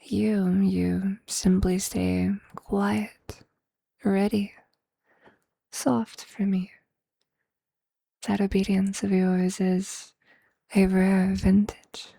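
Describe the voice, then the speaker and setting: Someone doing a possessive tender vampire lover, asmr roleplay, whispery n seductive, slow with some gravelly fry. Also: medium pitch, flat delivery, no room sound, heavily acted. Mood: adoring, seductive. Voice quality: breathy, whispery. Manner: slow, hushed, seductive, affectionate, formal wording.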